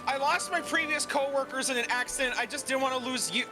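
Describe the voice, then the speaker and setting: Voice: high voice, fast talker. A co-player chatting casually with teasing, wry remarks during a game. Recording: loud game music behind.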